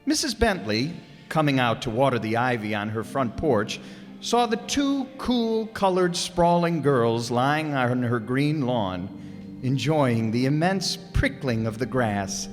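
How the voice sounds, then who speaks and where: A man's voice: medium pitch, sing-song, a little slow. A man playing a formal old-time radio announcer and storyteller, reading scripted narration. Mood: storytelling. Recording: reverberant hall with music behind.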